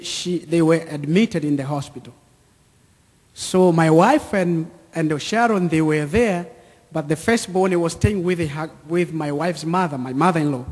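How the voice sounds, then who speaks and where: East African man, high-pitched voice, unhurried personal testimony, deliberate phrasing, long hall reverb. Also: a little mellow, husky. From Central or East Africa, near Lake Tanganyika. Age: 30s. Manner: deliberate, short repeated phrases. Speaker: speaker giving a testimony. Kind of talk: personal testimony told to an audience with slides.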